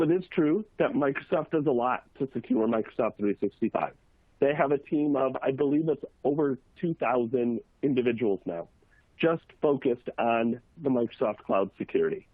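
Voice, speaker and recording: A man with a cold, sounding hoarse, giving a relaxed, conversational webinar talk on a video call with frequent fillers. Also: medium pitch, dry recording. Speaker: webinar presenter. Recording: tinny phone-like line, dry.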